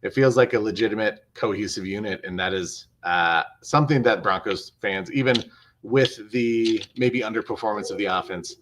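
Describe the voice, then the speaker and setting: Male sports commentator, lowish pitch, measured, explanatory, casual analysis, repeated phrases for emphasis, video call. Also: fairly bright tone, a little hiss or hum.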